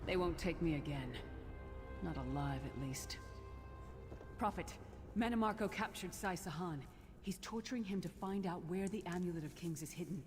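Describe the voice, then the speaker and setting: A woman's voice: lowish voice, normal speed, music in the background, natural voice. An actress playing a battle-worn, resolute female warrior in a fantasy video game, with an earnest, dramatic delivery. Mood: grim resolve.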